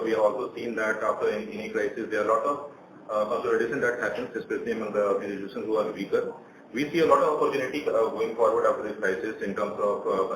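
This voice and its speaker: A man with an Indian accent answering as a bank executive on an earnings call, halting and repeating his words. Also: on the low side. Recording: echoey, distant, faintly noisy conference line.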